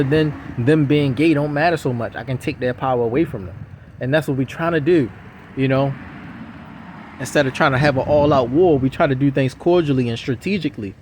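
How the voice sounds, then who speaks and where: A man's voice: medium pitch, slight background noise. A man giving a casual, rambling monologue to camera outdoors on city streets, repeating himself insistently. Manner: repetitive, insistent, circles back to the same points. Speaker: vlogger.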